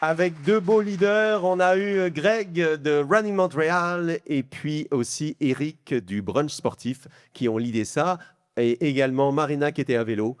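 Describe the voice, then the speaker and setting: A man's voice: a little high, a little slow, clean tone, no echo, clean studio sound. A man with a Quebec French accent co-hosting a live podcast, friendly and playful as he asks questions. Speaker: podcast co-host.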